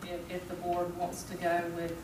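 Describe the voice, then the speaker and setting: A middle-aged woman talks at a public board meeting in a slightly low, very flat voice, stopping and repeating words as she weighs her point. She is heard from a distance, with heavy room echo and background noise. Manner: hesitant, repeats words, measured. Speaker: school board member. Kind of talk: formal meeting discussion. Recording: echoey room, far from mic, background noise.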